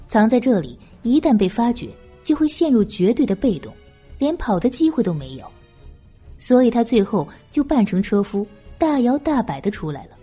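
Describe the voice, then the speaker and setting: A woman's voice: on the high side, very animated, normal speed, warm tone, slightly rough, soft background music. A woman narrating a historical novel as an audiobook in a dramatic, scripted style.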